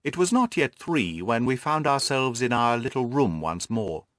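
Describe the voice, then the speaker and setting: A man reading scripted literary narration for an audiobook in a measured storytelling manner. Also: medium pitch, very animated, a bit bright, no echo, clean studio sound.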